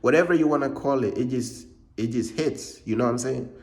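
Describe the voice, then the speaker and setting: A man's voice: mid-range voice, brisk, a little mellow. Slangy young man from Uganda. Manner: slangy, repetitive, peppers speech with bro. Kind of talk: casual vlog monologue.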